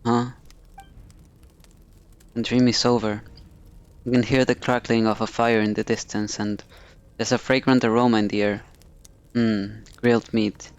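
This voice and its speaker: A man's voice: fairly low pitch, little pitch movement, a little slow, long pauses, clean tone, highs cut off. A man streaming a video game, reading the dialogue aloud and commenting on the story as he goes.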